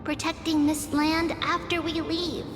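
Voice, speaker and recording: A woman's voice: sits high, flat delivery, a little slow. A woman voicing a small, earnest, tender-hearted demigod girl in a video game, with a youthful, emotive delivery. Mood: earnest, determined. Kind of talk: dramatic game voice acting. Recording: echoey reverberant hall, music behind.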